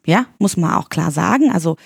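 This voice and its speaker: A woman in her forties from East Berlin, answering interview questions in a measured way, pausing and searching for words now and then. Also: on the low side, animated, creaky, breathy edge, no echo, studio quality. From East Berlin, Germany. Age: 40s. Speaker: candidate for pharmacists' chamber, guest. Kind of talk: podcast interview.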